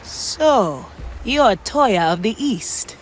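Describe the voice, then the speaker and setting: Lively, plucky woman pirate. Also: on the high side, drawn-out words, soft background music, low-quality recording. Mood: curious. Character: plucky, confident young female pirate recruit.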